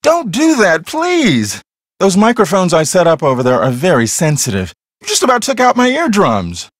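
A man's voice: high voice, huge pitch swings, normal speed, no echo, studio quality, full character voice. A man playing a cocky, earnest TV ghost hunter in a video game, explaining things in a theatrical, self-assured way. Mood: irritated.

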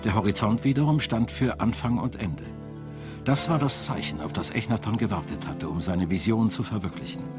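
Low-voiced male documentary narrator over music. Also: brisk. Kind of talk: scripted narration. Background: background music.

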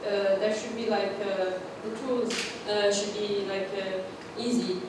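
A woman's voice: mid-range voice, level tone, takes it slowly, background noise. Woman, hesitant speech, full of fillers, echoey hall, far from mic. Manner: hesitant, many fillers and restarts. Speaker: workshop participant. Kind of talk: group discussion report. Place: large conference room. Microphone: far room mic.

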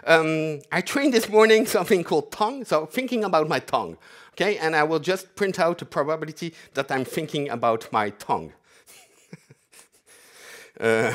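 Lively, animated French-accented man on stage. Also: a little high, moderate pace, pauses often. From half French, half Dutch. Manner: chatty, self-mocking, many restarts. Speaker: conference speaker, developer advocate. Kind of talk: live demo talk on stage. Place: large conference hall stage.

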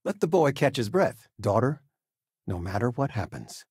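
Retired teacher dad for a game: older man, lively intonation, husky, fond and gently joking, dry close sound. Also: medium pitch, moderate pace, no room sound. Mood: fond, easygoing. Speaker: voice actor. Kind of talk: video game character dialogue.

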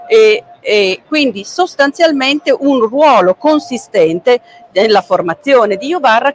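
Middle-aged lady curator talking about a book, lots of eh pauses, faint hall murmur behind. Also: medium pitch, a little hiss or hum. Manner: measured, frequent "eh" pauses, gestures while talking. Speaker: book curator presenting a volume. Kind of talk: public book presentation talk. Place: stand in a large indoor book fair hall.

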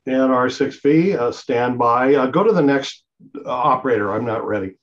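A man's voice: medium pitch, normal speed. An older man explaining radio operating practice casually as a co-presenter, heard through dull video-call audio.

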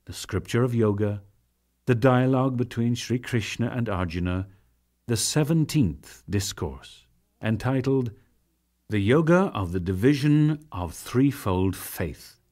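Slow, solemn man reading scripture dramatically. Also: medium pitch, animated, a little mellow. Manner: solemn, measured, formal declamation. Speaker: narrator.

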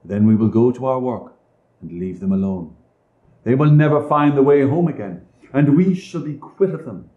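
A man's voice: mid-range voice, normal speed, reverberant, narrow, tinny audio, lightly acted. A man voicing a harsh, scheming stepmother in a storybook reading, scolding and commanding.

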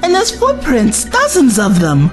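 A woman's voice: on the high side, wildly swooping pitch, unhurried, faint music behind. An actress playing a plucky, exasperated young woman companion in an audio drama.

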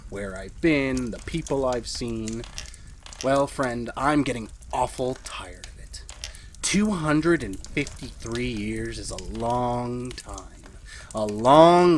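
A woman's voice: a bass voice, a little hiss or hum, full character voice. A woman playing a nervous, henpecked husband in a retro radio drama, confiding hesitantly and getting flustered. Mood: weary, confiding, then agitated, resolute. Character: nervous, henpecked husband, a university history teacher. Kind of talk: retro 1940s radio drama, first-person narration.

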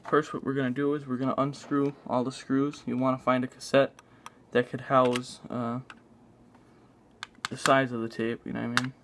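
A man narrating a casual hands-on tutorial in a halting, unscripted way, thinking aloud as he works. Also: medium pitch, flat delivery, a bit bright, slight background noise. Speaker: video maker demonstrating a repair. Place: indoors at a tabletop.

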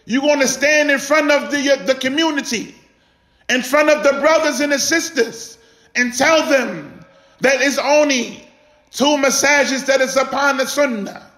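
High-pitched man preaching slowly and passionately. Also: pushed and bright. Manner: exhortatory, emphatic repetition and rhetorical questions. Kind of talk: impassioned sermon. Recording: echoey room.

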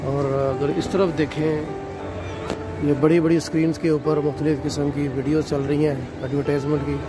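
A man's voice: fairly high pitch, level tone, moderate pace, over music. A middle-aged man narrating a walking tour for his viewers, filming on a handheld phone outdoors at night. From Pakistan. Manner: addresses viewers as "nazreen", leisurely describing sights while walking. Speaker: travel vlogger. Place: city streets at night, outdoors. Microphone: handheld phone camera. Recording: outdoor traffic noise.

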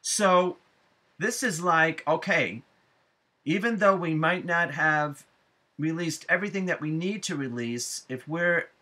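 A middle-aged man giving a spiritual forecast to viewers, speaking deliberately with emphatic phrasing and frequent pauses. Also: on the high side, sharp tone. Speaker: astrology forecaster and psychic medium. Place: small room at home, indoors.

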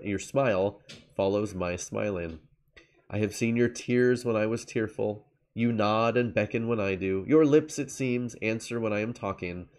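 A man voicing a young man in love with his own reflection, reading in a yearning, anguished way. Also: lowish voice.

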